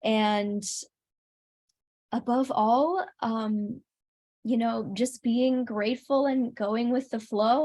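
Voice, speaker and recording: A woman chatting casually as an interview guest, upbeat and gracious. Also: medium pitch.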